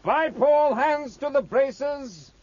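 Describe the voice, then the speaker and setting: An actor playing a brisk naval watch officer calling out orders in a 1950s radio drama. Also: male voice, very high voice, darkish tone. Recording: dull, noisy old radio recording.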